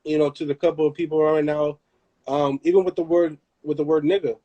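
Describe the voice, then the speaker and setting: A young man talks in a casual live chat, animated and emphatic, often restarting and repeating words. His voice sits a little high, with a mellow, relaxed tone. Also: a little fast, dull audio. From Southern California. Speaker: host.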